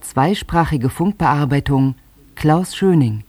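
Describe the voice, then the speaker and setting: A man makes formal scripted announcements for a radio drama. His delivery is slow and soft-toned, in a dry, close sound. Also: a little high, lively intonation, dry recording.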